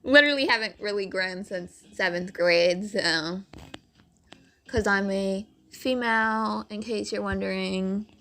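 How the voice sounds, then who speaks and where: Slow, raspy-voiced young woman vlogger. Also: medium pitch, bright, forceful tone. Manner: rambling, heavy on "like" fillers. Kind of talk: storytime narration over game footage.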